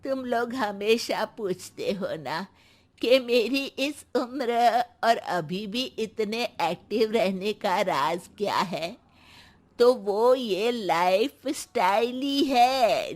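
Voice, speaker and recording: A woman playing a proud, sharp-tongued, active elderly grandmother in a cartoon story, with a taunting, deliberate delivery. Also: medium pitch, expressive. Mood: calm, wise. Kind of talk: Hindi cartoon story character acting.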